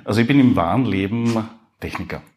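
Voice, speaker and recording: Nervous low-voiced male podcast host. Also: animated, quick pace, a little mellow. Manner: nervous, rambling, self-deprecating. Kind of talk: live podcast introduction. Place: university hall before a live audience.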